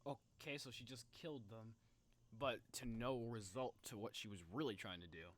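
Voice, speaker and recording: A young man giving casual, excitable live reaction commentary, exclaiming and thinking aloud. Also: medium pitch, moderate pace, soft background music. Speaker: reaction video host.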